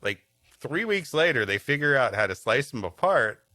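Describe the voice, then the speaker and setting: Animated, bright-voiced man bantering. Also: mid-range voice, normal speed, no echo, clean studio sound. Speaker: podcast co-host. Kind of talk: casual comic banter and riffing.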